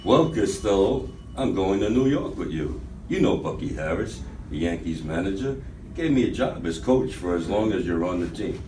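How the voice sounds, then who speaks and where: An older man playing the deliberate, explanatory straight man in a vintage comedy routine, reading it as amateur radio theatre. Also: deep voice, animated, a little mellow. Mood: matter-of-fact, insistent. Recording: roomy, distant mic, faint noise.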